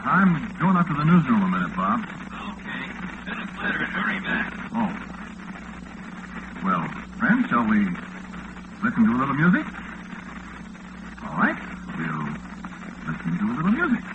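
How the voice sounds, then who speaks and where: An actor playing a bewildered, harried late-night disc jockey in a flustered, exasperated 1940s radio drama style. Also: male voice, mid-range voice, huge pitch swings, moderate pace, pauses often. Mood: uneasy. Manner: flustered, exasperated, muttering to himself. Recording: noisy lo-fi old radio recording.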